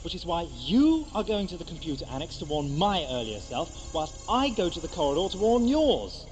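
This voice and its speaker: An actor playing an eccentric, theatrical time traveller in an audio drama, brisk and self-mocking. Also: male voice, fairly high pitch, big pitch swings, a little mellow, soft background music. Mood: excited, whimsical. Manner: theatrical, expounding, exclamatory. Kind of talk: 1980s amateur audio drama acting.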